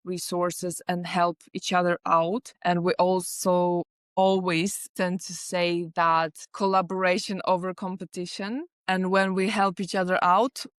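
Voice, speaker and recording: Woman, late twenties, smooth clear voice, dry close sound, earnest, lots of likes. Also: lowish voice, little pitch movement, measured pace, few pauses, dry recording. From Lithuania. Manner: earnest, talks in long lists with many likes. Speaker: artist manager, guest. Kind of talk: podcast interview answers.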